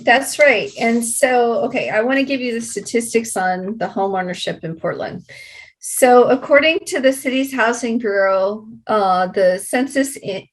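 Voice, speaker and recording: A woman's voice: medium pitch, rough recording. An American woman speaking as an interview guest and community advocate, explaining conversationally and repeating points for emphasis.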